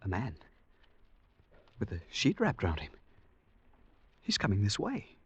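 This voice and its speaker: A man's voice: fairly low pitch, huge pitch swings, slow, dull audio, exaggerated voice. An actor in a 1940s radio drama playing a polite, timid male traveller swept into heroism, earnest and hesitant in delivery. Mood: tense, hushed. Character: timid, polite male traveller turned infatuated accidental hero. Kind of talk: 1940s radio acting and first-person narration. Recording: restored 1940s radio, faint noise, music.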